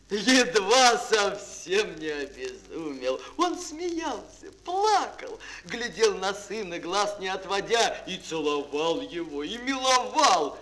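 A man narrating a children's fairy tale as a storyteller in an expressive, theatrical, dramatic manner. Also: sits high, rough recording.

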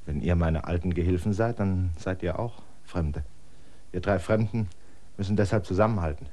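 A man's voice: low-pitched, normal speed, mellow, lightly acted. An actor playing a weary, suspicious land surveyor who gives his assistants firm orders in a radio play. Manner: tired, suspicious and commanding. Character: weary stranger, a land surveyor, middle-aged man. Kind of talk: classic German radio drama acting.

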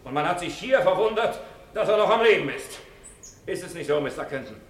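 Righteous witness, 1950s radio courtroom play: precise, formal, slightly high voice, roomy sound. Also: male voice, lively intonation, a little fast. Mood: indignant. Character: righteous, rational male witness, firm champion of reason. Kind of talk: 1950s German radio drama acting, courtroom testimony. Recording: echoey old radio studio recording.

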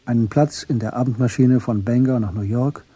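Dark, husky male narrator. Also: medium pitch, fairly even intonation, fairly quick, no room sound. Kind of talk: scripted literary narration for radio drama.

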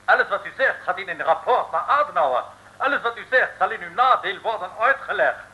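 An actor playing an officious, indignant secret agent in an old radio serial. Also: male voice, sits high, lively intonation, fairly quick, bright, forceful tone, heavily acted, poor audio. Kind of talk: 1950s-60s radio serial acting.

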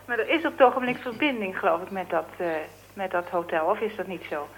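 A woman's voice: mid-range voice, expressive, quick pace, breathy edge, rough recording. A woman hosting a broadcast, asking questions in a conversational way. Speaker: interviewer, broadcast host. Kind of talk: conversational interviewing.

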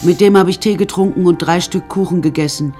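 A woman's voice: on the low side, brisk, mellow, soft background music, lightly acted. An actress playing a flamboyant, unsinkable woman who narrates her life in a radio play in a wry, chatty, theatrical way. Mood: gossipy, amused.

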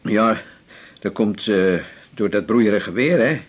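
An actor playing a chatty, ailing older patient in a radio drama, short of breath and halting. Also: male voice, on the low side. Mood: chatty, wheezy. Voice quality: short-winded, gravelly. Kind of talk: 1980s radio drama acting.

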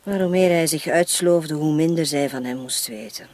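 An actress playing a loyal, evasive housekeeper in a radio play, talking hesitantly and discreetly. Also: female voice, deep voice, moderate pace, a little mellow, dry recording, close to an everyday voice. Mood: discreet, defensive.

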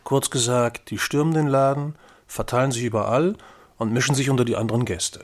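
A man plays a matter-of-fact commentator in a radio play. His mid-range voice moves briskly. Loud noise runs behind it. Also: mid-range voice, natural voice. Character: brisk male commentator recounting a gallery scuffle. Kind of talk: scripted radio play narration.

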